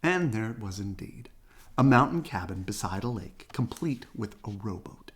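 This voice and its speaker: A storyteller reading a children's picture book aloud in an expressive, deliberate way with a playful touch. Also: medium pitch, breathy edge. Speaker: storybook reader.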